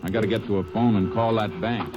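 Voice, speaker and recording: An actor playing a steady Texas Ranger who narrates and questions suspects in a tersely measured way in a radio drama. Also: male voice, on the low side, natural voice, rough recording. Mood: stern. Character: stern, steady male Texas Ranger lawman.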